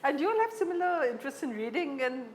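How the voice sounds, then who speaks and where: Female Indian interviewer, fairly high voice, conversational questioning, slight background noise. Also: big pitch swings, fast. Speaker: interviewer, host.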